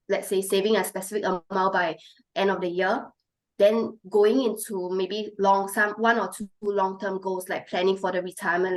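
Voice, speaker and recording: A woman with a hoarse, fading voice, speaking as a financial specialist in a webinar and explaining step by step with many fillers. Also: mid-range voice, rough recording. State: hoarse, losing her voice. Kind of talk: webinar presentation.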